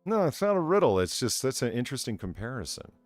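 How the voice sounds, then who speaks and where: A man hosting a livestream, chatting casually and profanely, with a rambling, halting way of telling things. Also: mid-range voice, sing-song, dry recording, faint music behind. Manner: rambling, halting, stop-and-start storytelling. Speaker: show host reading out donations.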